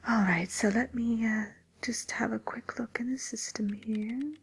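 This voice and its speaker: Woman acting as courteous fantasy matchmaker for villains, breathy whisper, very husky, unhurried, ASMR roleplay, close mic. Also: a little high, steady tone, heavily acted. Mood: politely helpful. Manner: gentle, hesitant, courteous customer-service delivery. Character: polite, attentive female matchmaker for wicked villains. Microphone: close microphone.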